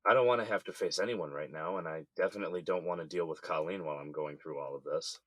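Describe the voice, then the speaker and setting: A man's voice: sits low, fast talker, fairly bright tone, dry recording. An actor playing a weary, blunt middle-aged businessman held in jail, in a naturalistic audio drama. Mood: resigned, stubborn. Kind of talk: naturalistic scripted drama dialogue.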